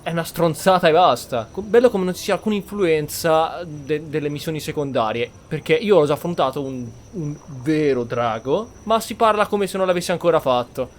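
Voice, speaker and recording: A man's voice: a little high, fast, hardly any pauses, a little hiss or hum. A man with an Italian accent giving casual gameplay commentary, blunt, grumbling and prone to swearing. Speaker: gameplay host.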